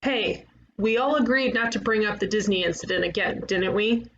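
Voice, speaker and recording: A woman playing a brash, eager young ghost detective, cheeky and emphatic, in an animated comedy table read. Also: mid-range voice, normal speed, highs cut off, slight background noise, close to an everyday voice. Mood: firm.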